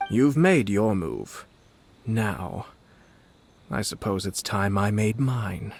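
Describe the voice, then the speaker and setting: An actor playing a composed, cunning young nobleman in a video game, speaking in a measured, formal and subtly condescending way. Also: on the low side, lively intonation, gravelly, a little hiss or hum, exaggerated voice. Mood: sly, composed. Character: composed, cunning young male noble commissioner, politely scornful.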